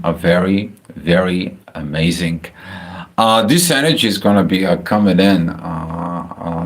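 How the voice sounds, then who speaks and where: Man, Bulgarian accent, very deep voice, slow, halting with lots of uhs, casual astrology talk. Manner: halting, many filler uhs and restarts. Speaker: astrology channel host. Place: home, house in Spain.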